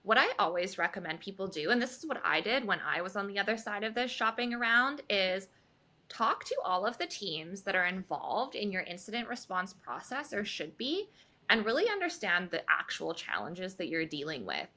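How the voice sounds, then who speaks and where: Woman interview guest, articulate and upbeat; lively pitch, bright tone. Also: normal speed. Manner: articulate, upbeat, laughs lightly. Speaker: guest, reliability advocate at a software firm. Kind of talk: conversational interview answers.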